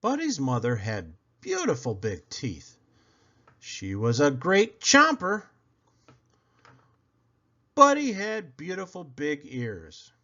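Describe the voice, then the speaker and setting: A man reads a children's story with big expressive swings. His voice is fairly high and forceful, and he takes his time. The recording is a little muffled. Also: takes it slowly, long pauses. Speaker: storyteller, read-aloud host. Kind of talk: expressive children's book narration.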